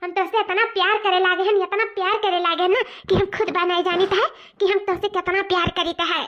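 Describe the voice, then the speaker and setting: A woman's voice: very high-pitched, level tone, fairly quick, a bit bright. A woman voicing a lovestruck young female student in a cartoon comedy, pleading and repeating herself with an eastern Uttar Pradesh accent. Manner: pleading, repetitive, emphatic. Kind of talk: cartoon family comedy acting. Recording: muffled, dull audio.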